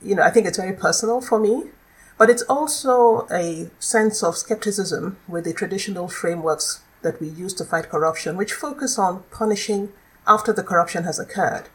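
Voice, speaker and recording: A middle-aged woman with a Nigerian accent, a law lecturer explaining her work in a measured, articulate way as a podcast guest. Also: fairly low pitch, rough recording. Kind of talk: podcast interview.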